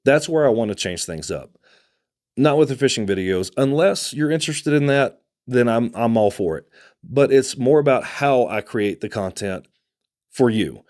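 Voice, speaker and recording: A man's voice: mid-range voice, expressive, normal speed, a little mellow. Man, Texan accent, host, casual talk to camera, conversational, a few restarts. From Texas. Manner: conversational, with many restarts and fillers.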